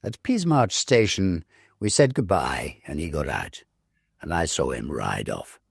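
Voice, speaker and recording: A British man reading a story aloud in an expressive, theatrical, measured literary narration style. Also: a bass voice, vocal fry, husky, no echo, clean studio sound. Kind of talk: expressive dramatic audiobook narration.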